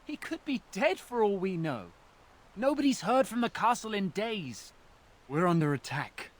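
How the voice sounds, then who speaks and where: An actor playing a frightened, humble young villager with a hesitant, stammering delivery in a video game. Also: male voice, high-pitched, very animated, moderate pace. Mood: fearful despair.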